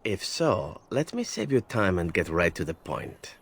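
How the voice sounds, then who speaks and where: A man's voice: deep voice, very animated, measured pace, husky, full character voice. A man playing a flippant, talkative assassin in a video game, glib and wry, with teasing tag questions. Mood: breezy, glib. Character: roguish, flippant male assassin, cheeky and talkative captive. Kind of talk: video game character acting.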